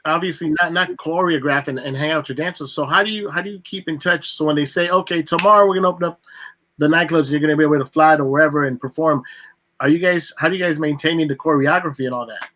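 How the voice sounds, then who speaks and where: A male co-host on a video call rattles off questions fast, often starting them over. His voice is bright and a little high for a man. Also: fairly even intonation. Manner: quick questions with restarts. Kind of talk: casual video-call interview.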